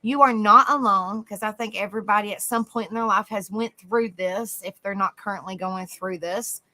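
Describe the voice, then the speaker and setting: A woman hosting a casual livestream, chatting softly with viewers by name and reading notes aloud. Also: mid-range voice, normal speed. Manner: soft, easygoing, chats with viewers by name. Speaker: livestream host.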